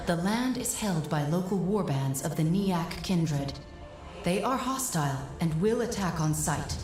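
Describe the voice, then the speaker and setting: A woman playing a grave, knowing advisor in a video game, speaking in a measured, serious, explanatory way. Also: lowish voice, lightly acted. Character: grave, knowing female advisor guiding a warrior. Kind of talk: video game cutscene voice acting. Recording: echoing voice with music behind. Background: game music.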